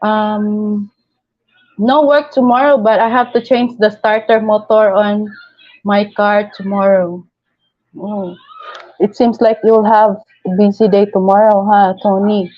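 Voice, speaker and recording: A woman's voice: mid-range voice, flat delivery, a little slow, muffled recording. A woman from the Philippines with a Filipino accent, hosting a casual live stream, chatting and reading viewer comments aloud with frequent laughs.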